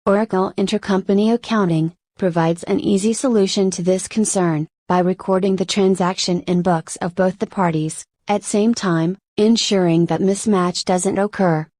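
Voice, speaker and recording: A woman reading scripted instructional narration for a software tutorial in a measured, step-by-step manner. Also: mid-range voice, steady tone, no echo.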